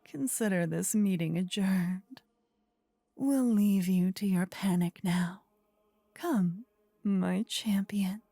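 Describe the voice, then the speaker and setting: Woman as a slow, breathy demon queen. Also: medium pitch, dark tone, dry recording. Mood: imperious. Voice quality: breathy, partly whispered. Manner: imperious, savoring, seductive. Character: sinister, gloating, possessive demon queen lover. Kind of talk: breathy ASMR fantasy roleplay acting.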